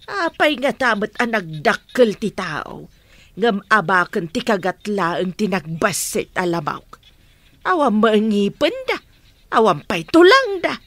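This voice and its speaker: Meddling in-law, swooping intonation. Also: female voice, mid-range voice, sing-song, measured pace, a bit bright, no room sound, heavily acted. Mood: mocking, prying. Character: meddling, mocking middle-aged female in-law. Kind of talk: Ilocano radio drama acting.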